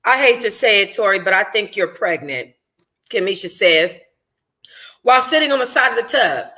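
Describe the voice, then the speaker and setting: A woman reading a first-person novel aloud informally as a book club host, in an expressive, conversational, slangy way. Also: mid-range voice, moderate pace.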